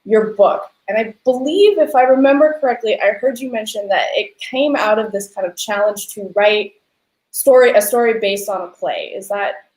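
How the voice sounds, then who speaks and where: A woman's voice: mid-range voice, moderate pace, a little mellow. Young woman hosting, echoey room. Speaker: panel host. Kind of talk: conversational panel moderating. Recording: echoey room on video call.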